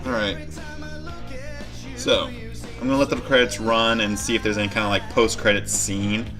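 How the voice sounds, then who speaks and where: A man's voice: lowish voice, flat delivery, normal speed, over music. A man hosting a reaction video with casual, off-the-cuff commentary.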